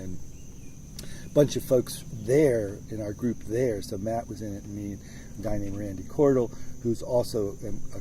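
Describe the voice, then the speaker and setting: A man with a lowish, very mellow voice talks through his music at an easy, rambling pace, often circling back and restarting his sentences. He is recorded outdoors in the countryside, with crickets faintly audible behind him. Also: a little hiss or hum, low-quality recording. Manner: thoughtful, rambling, many restarts. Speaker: musician interviewee. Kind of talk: relaxed conversational interview.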